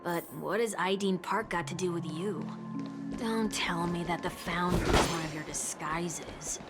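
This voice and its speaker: Shrewd, low-pitched skeptical woman voiced by an actress. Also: fairly even intonation, unhurried, lightly acted. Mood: wry warning. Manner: pointed, wry, conversational. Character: shrewd, blunt, skeptical, teasing woman ally. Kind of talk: scripted video game voice acting. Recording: music behind the voice.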